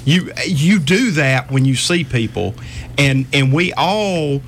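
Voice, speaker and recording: A man's voice: fairly high pitch, expressive, slow, a bit bright, slight background noise. A man with a Southern accent, a tradesman speaking bluntly and folksily in a casual podcast chat, often laughing mid-sentence.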